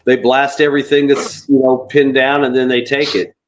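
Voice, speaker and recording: A man's voice: medium pitch, flat delivery, normal speed, slight background noise, poor audio. Rambling male call-in regular. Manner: rambling, searching for words. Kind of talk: casual online discussion.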